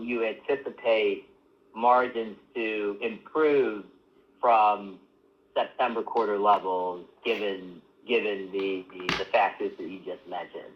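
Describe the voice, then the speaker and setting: A man asking questions as an analyst on an earnings call, polite and full of restarts. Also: fairly low pitch. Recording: muffled phone-line audio.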